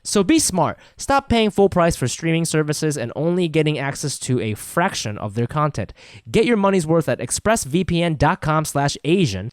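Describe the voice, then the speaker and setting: A man's voice: fairly high pitch, dry recording, studio quality. A man co-hosting a podcast in an informal, joking way, in casual chat and chatty host-read spots.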